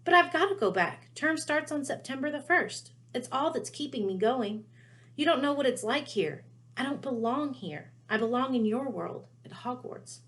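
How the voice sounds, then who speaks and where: Woman doing an earnest wizard schoolboy from a storybook, highish voice, puzzled, insistent, full of questions. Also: animated, normal speed, a bit bright. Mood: urgent. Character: earnest schoolboy wizard, curious, bewildered, urgent.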